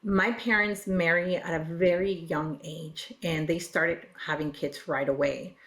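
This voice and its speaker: Slow-paced, reflective woman from Peru. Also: lowish voice, steady tone, a bit bright. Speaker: interview guest, regional director. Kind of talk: personal storytelling in an interview.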